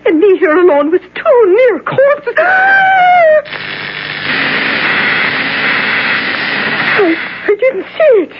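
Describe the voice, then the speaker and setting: A woman's voice: extremely high pitch, big pitch swings, measured pace, full character voice. A chatty old Irish cleaning woman, pious and superstitious. She talks in a fussy, motherly, lilting way. The recording is a muffled old radio broadcast with faint hiss. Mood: terrified. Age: older. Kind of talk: 1970s radio drama acting.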